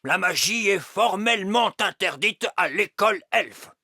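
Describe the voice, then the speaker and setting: A man's voice: high-pitched, animated, sharp tone, dry recording, studio quality, soft background music, heavily acted. A man voicing a wise old elf schoolteacher in a French children's cartoon, stern, formal and precise. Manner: measured, formal, hemming and throat-clearing.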